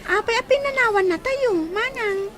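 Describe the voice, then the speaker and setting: A woman's voice: very high voice, moderate pace, a bit bright. A woman playing a young, inquisitive and wistful little sister in a radio drama, with a childlike, questioning manner.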